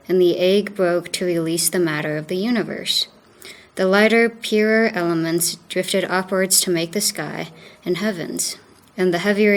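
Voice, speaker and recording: A woman reading a creation myth aloud in a careful, measured way. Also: lowish voice. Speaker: student reader.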